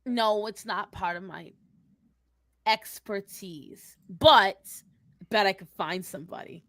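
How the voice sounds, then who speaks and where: A woman voicing a brash, foul-mouthed, quick-tempered young witch character in improvised live stream banter. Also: medium pitch, fairly bright tone. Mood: playful, sardonic. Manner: sassy, foul-mouthed, combative.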